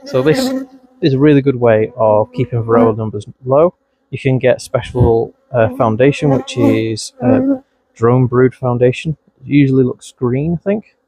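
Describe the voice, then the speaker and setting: A man's voice: medium pitch, big pitch swings, normal speed, mellow. Chatty Scouse-Wigan beekeeper man. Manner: chatty, talks to his bees, voice jumps up when startled. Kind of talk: hands-on vlog narration. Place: outdoors at beehives in a bee suit. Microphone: mic clipped close, bees crawling on it.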